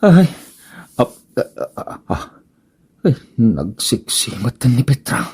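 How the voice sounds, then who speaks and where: A man's voice: mid-range voice, very animated, gravelly, a little mellow, breathy edge, heavily acted. A man playing a flustered, lovestruck married tricycle driver in an Ilocano-accented radio comedy, stammering and drawing out his exclamations. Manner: stammering, exclaiming, drawn-out words. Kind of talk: comedic radio drama acting.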